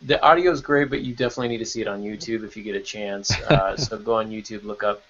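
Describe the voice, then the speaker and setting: A man's voice: lowish voice, steady tone, fast talker, a bit bright. A man hosting a podcast in a casual, chatty way, steering the conversation. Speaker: podcast host. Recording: dull lo-fi audio.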